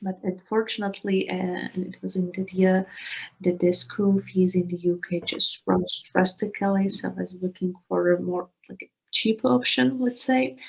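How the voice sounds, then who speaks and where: Woman from Slovakia, 30s, English, halting interview guest, muffled call audio. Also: on the low side, steady tone, vocal fry. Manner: hesitant, many restarts and fillers, laughs often. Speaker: guest, expat coach. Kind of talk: casual interview answers. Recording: slightly dull lo-fi call audio.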